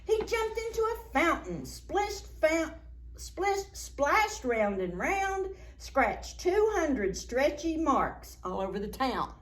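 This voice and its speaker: A woman's voice: high-pitched, big pitch swings, slow pace. A woman reading a picture book aloud to preschoolers as a story time host, expressively, with asides and questions to her young listeners.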